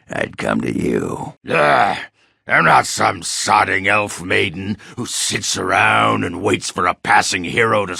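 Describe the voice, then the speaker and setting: An actor voicing a crude, hard-drinking, middle-aged dwarf warrior in a video game, gruff and raspy, blunt and sardonic. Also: male voice, a bass voice, bright, forceful tone, no room sound, clean studio sound, exaggerated voice. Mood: angry. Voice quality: gravelly, raspy. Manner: blunt, boastful, swearing, sardonic. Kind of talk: video game character dialogue.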